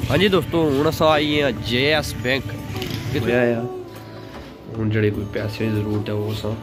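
A man's voice: medium pitch, steady tone, moderate pace. A young man from Azad Kashmir, chatting with shopkeepers on a casual street vlog in an outdoor town bazaar. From Azad Kashmir, Pakistan. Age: young adult. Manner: chatty, greets shopkeepers warmly with questions. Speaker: vlogger. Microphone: handheld camera at arm's length. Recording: loud background music.

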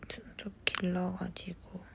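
A young woman chatting casually on a phone livestream, reading fan comments and answering them softly, at times slipping into whispers. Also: lowish voice, monotone, gravelly, heavy background noise. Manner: slow, soft-spoken, drifts into whispers. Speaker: idol livestreaming to fans.

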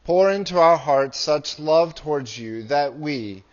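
A man in his thirties, a priest reading prayers and scripture in a solemn, measured liturgical style. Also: a little high, expressive, muffled recording. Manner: solemn, measured, deliberate phrasing. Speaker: priest leading a church service. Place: small church with hard walls.

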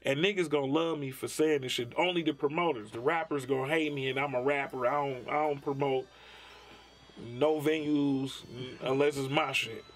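A man's voice: mid-range voice, fairly even intonation, moderate pace. A man from Detroit, a rapper, talking bluntly and profanely in a laid-back interview with a Detroit accent. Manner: laid-back, blunt and profane, many "you know what I'm saying". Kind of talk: candid interview talk.

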